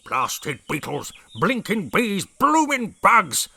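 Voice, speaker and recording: A man's voice: high-pitched, huge pitch swings, takes it slowly, gravelly, sharp tone, slightly rough, pitched above the performer's own voice, heavily acted. A man voicing a blustering, hot-tempered old male dinosaur brigadier who bellows and roars his orders. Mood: bellowing angry. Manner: bellowing, roaring.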